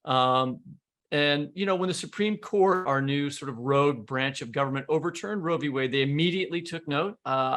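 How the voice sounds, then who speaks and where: An American man with a fairly high voice that often drops into heavy creak. He speaks as a podcast host, in casual political conversation. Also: a bit bright.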